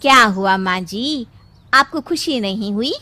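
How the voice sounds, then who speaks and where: A woman's voice: on the high side, animated, unhurried, sharp tone. A woman voicing a dutiful, determined young village daughter-in-law in a cartoon story, respectful and earnest. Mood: worried.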